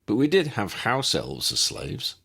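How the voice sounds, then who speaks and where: A man's voice: mid-range voice, huge pitch swings, measured pace, vocal fry, bright, forceful tone, slightly rough, voice pushed up, full character voice. A man voicing a blunt, joking young male wizard in an audiobook. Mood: cheeky. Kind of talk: audiobook character dialogue.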